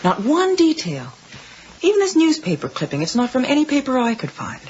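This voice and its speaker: Earnest psychiatrist, lively pitch. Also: female voice, a little hiss or hum. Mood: puzzled, thoughtful. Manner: measured, probing, thoughtful. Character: earnest, methodical, troubled woman psychiatrist. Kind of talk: radio drama acting. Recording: dull 1980s radio broadcast with music.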